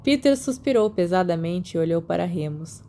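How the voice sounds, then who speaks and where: A Brazilian woman reads a story as an amateur audiobook narrator. Her voice is fairly low and very mellow, with a soft storytelling delivery. She gives the dialogue as well as the narration, occasionally tripping on a long word. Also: expressive, moderate pace, a little hiss or hum.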